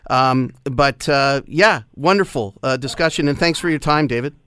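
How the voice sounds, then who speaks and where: A man hosting a radio talk show in a studio, chatty and excitable, with lots of fillers. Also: medium pitch, moderate pace, smooth. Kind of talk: casual radio chat.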